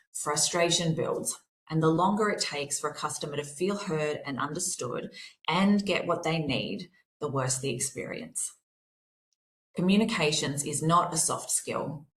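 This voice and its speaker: A woman with a slightly hoarse voice, presenting a workplace seminar in a measured, explanatory way. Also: sits low, echoey room. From Australia.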